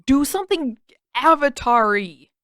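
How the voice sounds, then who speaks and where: A woman voicing a brash, exasperated teenage boy in an audiobook story, complaining in indignant, loud yelps. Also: high voice, animated, measured pace, dry recording, studio quality, pitched above the performer's own voice. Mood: exasperated, alarmed. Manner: indignant, yelping, shouted delivery.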